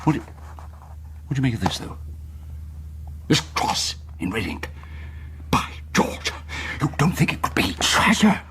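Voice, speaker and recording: An actor playing a pompous, eager English squire with a stammering bluster in a period radio drama. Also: male voice, medium pitch, sing-song, measured pace, pauses often, bright, forceful tone, a little hiss or hum. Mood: blustering curiosity.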